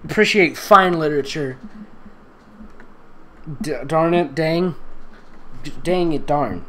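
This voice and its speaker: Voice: medium pitch, slow, sharp tone, slight background noise. A theatrical, sarcastic reader giving a comedic dramatic reading, breaking off into exasperated, mocking asides. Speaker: fanfiction reader, voice actor.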